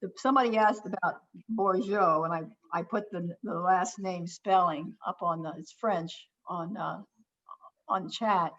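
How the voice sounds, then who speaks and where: A woman's voice: on the low side, unhurried, a bit bright. A woman leading a group discussion on a video call, friendly and sometimes searching for words. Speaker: discussion leader. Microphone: computer mic on a video call. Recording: dull lo-fi video call audio.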